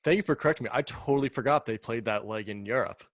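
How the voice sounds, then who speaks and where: A young American man hosts a podcast in an easy, chatty way, with enthusiasm in his voice. His pitch sits in the middle, and the recording is dry, with no room echo. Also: fast. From United States. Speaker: podcast host. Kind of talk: casual interview chat.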